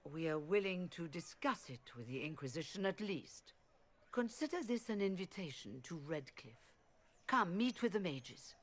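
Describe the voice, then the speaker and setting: An actress playing a poised, diplomatic leader of rebel mages in a fantasy game, with a formal, courteous manner. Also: female voice, lowish voice, expressive, moderate pace. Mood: courteous, inviting.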